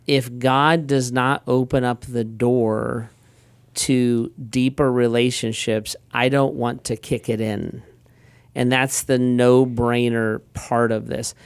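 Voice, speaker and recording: Smooth-voiced man pastor. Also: mid-range voice, slow pace, no room sound. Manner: relaxed storyteller, frequent restarts and quoted asides. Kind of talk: casual conversational talk.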